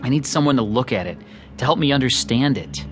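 An actor playing an obsessed, lonely, self-doubting amateur artist in an audio drama, speaking earnestly and intensely. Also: male voice, medium pitch, expressive, fairly quick, over music, close to an everyday voice. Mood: anxious, pleading. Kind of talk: dramatized audio drama, first-person narration and dialogue.

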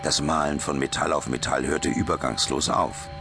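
Grave adventurer narrating sci-fi audio drama, super deep creaky voice, loud music behind. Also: male voice, steady tone. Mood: tense, alarmed. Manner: measured, deliberate storytelling. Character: grave male first-person adventurer recounting a dangerous mission. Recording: music and vehicle rumble behind.

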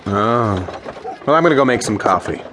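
Plain-spoken western man, medium pitch male actor. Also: expressive, normal speed, background noise, natural voice. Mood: mildly curious. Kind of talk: western audio drama acting.

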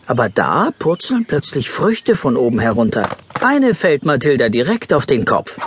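Animated man narrating a children's story. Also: mid-range voice, normal speed, background noise. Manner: expressive storytelling.

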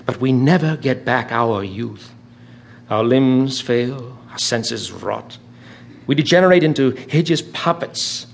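An actor playing a witty, languid aristocratic gentleman in a radio drama, speaking in theatrical epigrams. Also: medium pitch, vocal fry, slight background noise.

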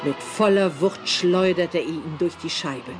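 A man's voice: fairly high pitch, a little slow. A man reading scripted, dramatic narration for a German horror audio drama. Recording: music behind the voice.

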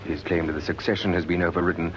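A man's voice: deep voice, little pitch movement, rapid-fire, a crowd in the background. A British man giving formal scripted scene-setting narration for a radio drama. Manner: formal, clearly announced. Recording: dull vintage radio recording. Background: music.

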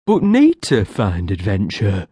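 A reader voicing a slow, simple little creature. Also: medium pitch, sing-song, a little mellow, dry recording, clean studio sound. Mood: sad. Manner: broken childlike speech, talks of himself by name. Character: simple-minded excitable little male creature. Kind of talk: audiobook character voice.

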